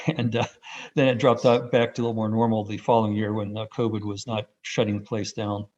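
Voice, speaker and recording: A man giving an unscripted, rambling first-person account in halting bursts, as a radio hobbyist on a video call. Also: fairly low pitch, level tone, a little mellow. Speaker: amateur radio contest operator. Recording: dull, band-limited video call audio.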